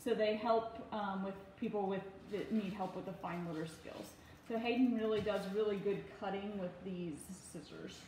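A woman's voice: medium pitch, moderate pace, dark tone. A woman in her thirties talking casually through instructions in a patient but firm, step-by-step way. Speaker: parent giving a cooking demonstration. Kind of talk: casual instructional talk to camera and child. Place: home kitchen, indoors. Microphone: phone propped on counter, about arm's length. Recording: very echoey room, faint noise.

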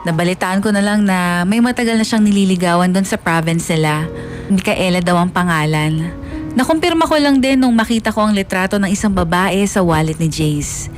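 A woman's voice: medium pitch, natural voice. A woman playing a lovesick, jealous young woman, narrating her own story emotionally in a radio drama. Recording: music bed behind the voice.

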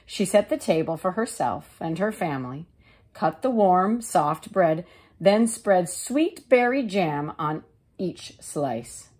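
Female storybook narrator: teacherly, measured, expressive, lowish pitch, animated. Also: husky. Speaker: teacher reading aloud. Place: living room couch, indoors.

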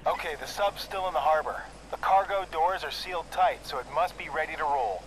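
Brisk game radio contact, man doing a calm curt soldier, slightly high, noisy background. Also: natural voice. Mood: briefing. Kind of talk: game dialogue.

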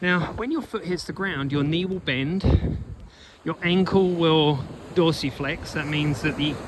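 A man's voice: on the high side, measured pace, a crowd in the background. A man coaching runners in an off-the-cuff walking vlog, instructive and emphatic, repeating phrases as he explains, recorded outdoors on a phone. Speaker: running coach and blogger. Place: outdoors on an open sandy beach. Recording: noisy outdoor beach ambience.

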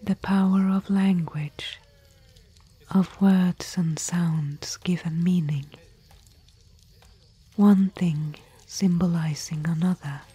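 A woman playing a thoughtful, bookish expedition companion in gentle, musing, whispery ASMR roleplay. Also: lowish voice, steady tone, slow, long pauses, exaggerated voice. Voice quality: breathy, whispery. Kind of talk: soft-spoken ASMR roleplay.

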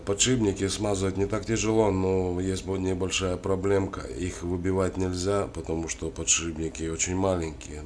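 A man with a cold giving a casual hands-on tutorial, halting and thinking aloud as he works. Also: sits low. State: has a cold. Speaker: DIY tutorial host. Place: indoors at a wooden worktable. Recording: clearly noisy home recording.

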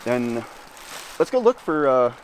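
A man's voice: mid-range voice, sing-song, slow pace. A man in his forties vlogging casually outdoors in a damp forest, chatty and self-deprecating as he thinks aloud. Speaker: camping vlogger. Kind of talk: casual outdoor vlog narration. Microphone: camera mic, handheld close and filmed from a distance. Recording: outdoor recording with clear background noise.

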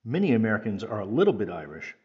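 Male storytime reader, lowish voice, careful phrasing, slightly dull recording. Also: big pitch swings, fast. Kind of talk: children's picture book read-aloud.

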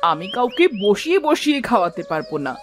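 A woman playing a harsh village aunt in an animated moral story, speaking in a sharp, bossy, accusing manner. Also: mid-range voice, quick pace, soft background music, natural voice. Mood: angry accusing.

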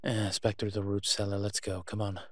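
A man playing a wry, cautious vampire father in an audio roleplay, speaking in a measured, musing way to the listener. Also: fairly low pitch, little pitch movement, dry recording, clean studio sound, close to an everyday voice. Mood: wary, urgent. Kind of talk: scripted audio roleplay acting addressed to the listener.

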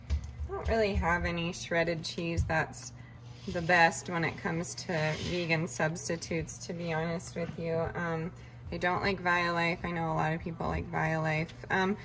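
A woman chatting casually as she walks through her meal prep in a home kitchen, relaxed and full of fillers. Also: on the low side, background noise. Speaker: vlogger cooking meal prep. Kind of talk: casual cooking narration.

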